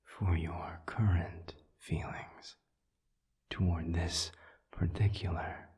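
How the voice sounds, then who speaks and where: A man playing a suave, patient demon in an audio roleplay, speaking in a breathy half-whisper with measured, formal, soothing phrasing. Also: low voice, fairly even intonation, dark tone. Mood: gently probing. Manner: measured, deliberate, formal phrasing with long pauses. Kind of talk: audio roleplay, intimate one-sided dialogue.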